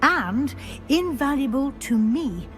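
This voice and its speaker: A brisk, middle-aged British witch professor from a fantasy game. Her voice sits fairly high and swings expressively as she gives formal, exacting instruction, with music underneath. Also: animated, fairly bright tone, exaggerated voice. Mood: brisk, mildly exasperated. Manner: crisp, formal, schoolmistress-like. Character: brisk, exacting female witch professor guiding a new student. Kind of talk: video game character dialogue.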